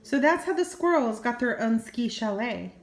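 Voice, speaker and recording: A woman's voice: fairly high pitch, animated, normal speed. An older woman, a grandmother, reading a children's story expressively with small asides, in an echoey room. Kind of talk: children's storybook read-aloud.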